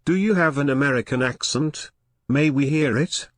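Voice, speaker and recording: A man's voice: on the high side, moderate pace, a bit bright, no room sound, clean studio sound. A synthetic male text-to-speech voice reading viewer chat messages aloud, with the odd inflections of a machine.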